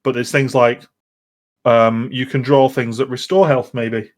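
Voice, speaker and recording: A man in a casual chat, explaining things in a halting, rambling way. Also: medium pitch, fairly even intonation. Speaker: podcast host.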